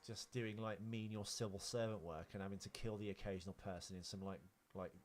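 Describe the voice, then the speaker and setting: A man's voice: on the low side, steady tone, a little fast, a little hiss or hum. A man co-hosting a podcast, chatting casually about films and celebrities in a rambling, sweary way. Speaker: podcast co-host.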